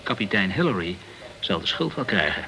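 A man's voice: low-pitched, sing-song, brisk, gravelly, pushed and bright, full character voice, poor audio. A man playing a polite, chatty journalist, peppering his talk with words, in a radio play. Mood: grave, informative. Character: polite, talkative French journalist in the tropical West Indies. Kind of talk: Dutch radio play acting.

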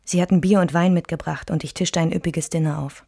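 Low-voiced woman narrator, intimate and reflective. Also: fairly even intonation, fairly quick, creaky, dark tone, dry recording, studio quality. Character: woman recalling her married life, reflective and wounded. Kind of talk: first-person radio drama narration.

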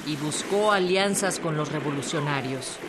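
A woman's voice: sits low, normal speed, very noisy. A woman reading scripted historical narration for radio.

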